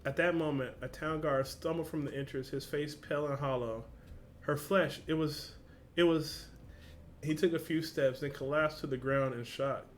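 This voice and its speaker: A man's voice: fairly high pitch, steady tone, moderate pace, a little hiss or hum. A man with a casual, slangy, informal way of talking, hosting a reaction video and reading along.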